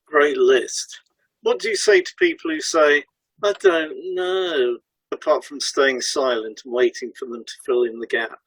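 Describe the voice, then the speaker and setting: Slightly husky man, dry sound. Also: medium pitch, big pitch swings, measured pace, no room sound, rough recording. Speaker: podcast co-host and hypnotherapist. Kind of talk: scripted podcast dialogue, explaining.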